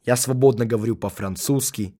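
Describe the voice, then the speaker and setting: A man reading out translated phrases one at a time for a language lesson, in a careful, measured way. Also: medium pitch, dark tone. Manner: gives each phrase as a careful spoken translation. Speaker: translation reader.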